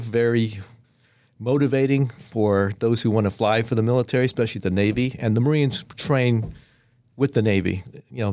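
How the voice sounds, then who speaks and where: Measured, earnest man, low and dry. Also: darkish tone, no echo. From Vietnam. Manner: measured, earnest, pauses between phrases. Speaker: podcast guest. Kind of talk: reflective interview answers.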